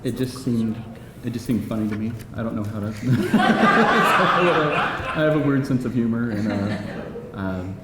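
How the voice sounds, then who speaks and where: A man speaking casually as a screenwriter at a live Q&A, halting, joking and self-deprecating. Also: big pitch swings. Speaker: screenwriter answering audience questions. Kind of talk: casual, unscripted Q&A talk. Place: live event room with audience. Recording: echoey live room, noisy rumble.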